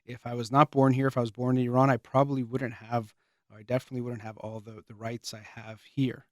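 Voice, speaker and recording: A man talks in an earnest, measured way, pausing and restarting his sentences as he works through his thoughts. His voice is wearing thin, as though he is losing it. His pitch sits in an ordinary middle range, and the recording is dry with no room echo. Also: level tone. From United States. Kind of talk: reflective spoken monologue.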